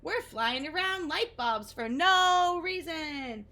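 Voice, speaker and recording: A woman voicing Wiggly, a giddy, showy young moth who talks loudly and excitedly. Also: high-pitched, slow, pitched above the performer's own voice, heavily acted. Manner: loud and excited.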